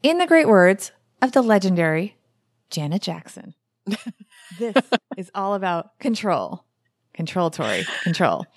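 A woman's voice: mid-range voice, big pitch swings, measured pace, dry recording. A woman in her forties co-hosts a podcast in an upbeat, casual way. Her voice sits in a middle range, and the recording is dry and close with no room sound. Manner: upbeat, plugging and summing up. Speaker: podcast host.

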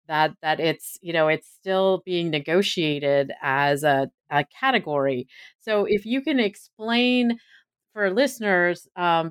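Woman interviewer with a smooth, dry voice. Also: low-pitched, no room sound. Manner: measured, lengthy framing of questions. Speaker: podcast interviewer. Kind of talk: interview questions.

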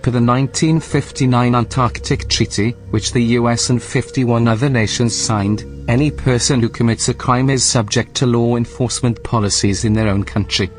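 An actor playing a polite, formal station AI in an audio drama, measured and probing. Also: male voice, mid-range voice, fairly even intonation, faint music behind, lightly acted. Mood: stern formality. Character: polite, coolly suspicious male station AI.

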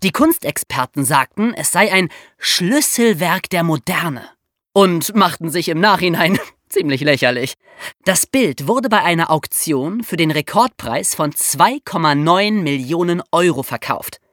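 A woman podcast co-host with a slightly low voice and very animated intonation. She explains things in everyday language, as if telling a story to young listeners. The sound is dry and close. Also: unhurried, no echo. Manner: explanatory, colloquial. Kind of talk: conversational true-crime storytelling for young listeners.

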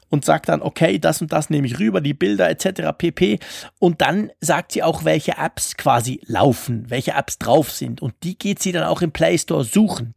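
A man's voice: on the high side, creaky, husky, no room sound, studio quality. A man from Switzerland with a Swiss accent, talking casually and at length as co-host of a tech podcast, in a self-deprecating way.

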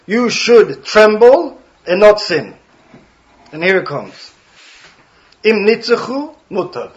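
A rabbi speaking English with a Swedish accent, giving an earnest Torah talk. He explains text phrases one by one, clears his throat often and adds dry jokes. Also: sits high, muffled recording. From Sweden. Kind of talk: Torah talk to a study hall.

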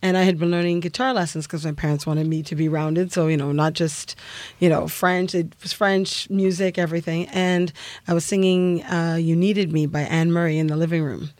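A woman's voice: on the low side, fairly even intonation, normal speed, darkish tone, dry recording. A middle-aged woman from Canada, a singer telling her story in an interview, chatty with many fillers and laughs. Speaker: singer guest.

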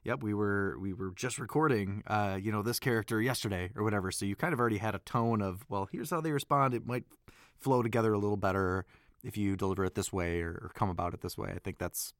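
A man, a voice actor, chatting casually and thoughtfully in a remote conversation, often self-deprecating and quick to laugh. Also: lowish voice, no room sound, studio quality.

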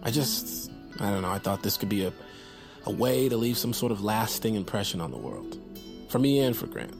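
A man's voice: fairly low pitch, lively intonation, normal speed, vocal fry, music in the background. An actor playing an awkward, earnest man in his thirties, a loyal friend who rambles and stammers, in a naturalistic audio drama. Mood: earnest, wistful. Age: 30s. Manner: rambling, stammering, self-deprecating.

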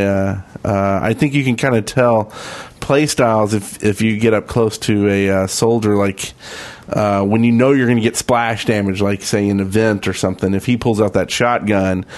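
A man co-hosting a gaming podcast, chatting casually and joking with the others as they go through listener emails. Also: on the low side. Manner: joking, banters with co-hosts. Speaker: podcast co-host.

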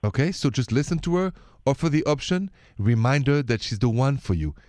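A man's voice: medium pitch, lively intonation, a little fast, darkish tone, no echo, studio quality. A man from Paris with a French accent, hosting a call-in radio show and giving relationship advice in a conversational, direct way. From Paris, France.

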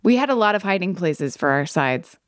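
A woman's voice: lowish voice, big pitch swings, a little fast, no echo, studio quality. A woman in her forties co-hosting a podcast, chatting casually with eager enthusiasm and frequent laughter. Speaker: podcast co-host, actress. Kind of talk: casual chat.

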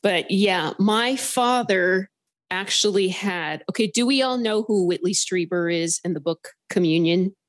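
A woman from Southern California calling in to a podcast, telling her story in an animated, rambling way full of filler words. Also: medium pitch, a little slow. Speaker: caller sharing a paranormal story. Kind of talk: casual storytelling on a phone-in podcast.

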